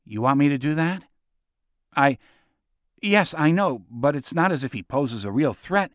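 A gruff, hot-tempered military chief, acted out by a male narrator. He speaks in curt, menacing barks at a slightly high pitch, recorded very dry, as in an audiobook. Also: lively intonation, a little slow, dry recording. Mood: harried, deferential.

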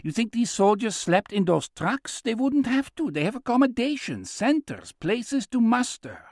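A man's voice: extremely high pitch, very animated, moderate pace, darkish tone, dry recording, clean studio sound, voice pushed up, full character voice. A man voicing a shrewd older man who talks in a wry, knowing, teasing way.

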